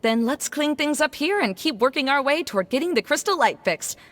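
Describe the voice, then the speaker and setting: A woman voicing a calm, steady young female adventurer in a video game. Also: sits high, brisk. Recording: game cutscene with clear background music.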